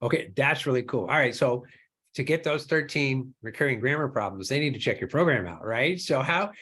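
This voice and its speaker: A man's voice: medium pitch, lively intonation, a little fast, a bit bright, breathy edge. A man hosting a podcast, reacting briefly and asking casual, encouraging questions. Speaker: podcast host, math teacher. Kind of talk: podcast interview.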